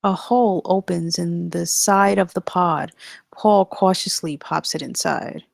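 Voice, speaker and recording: A woman's voice: fairly low pitch, unhurried, gravelly, dry recording. A woman narrating the stage directions at a screenplay table read in a scripted style.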